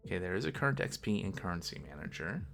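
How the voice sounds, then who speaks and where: A man giving casual think-aloud commentary as a game developer on a coding stream, halting and muttering to himself as he works. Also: low voice, very animated, creaky, a bit bright, soft background music.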